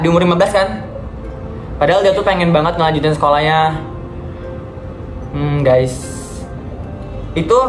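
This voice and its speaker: Young male vlogger, high voice, talkative and encouraging, echoey room. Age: young adult. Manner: chatty, addresses viewers as guys, encouraging. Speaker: vlogger host. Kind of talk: casual video call chat. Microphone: video call. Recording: echoey room with faint music.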